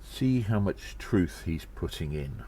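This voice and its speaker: A man playing a self-important, cheeky gnome undercover agent, delivering a deliberate and theatrical audio drama monologue. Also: deep voice, animated, dark tone, husky, full character voice. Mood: musing, wry.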